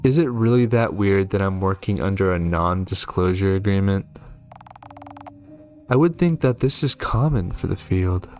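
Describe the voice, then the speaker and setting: Man playing a curt, low-voiced secretive husband. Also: expressive, normal speed, soft background music. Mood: irritated. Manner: curt, irritated.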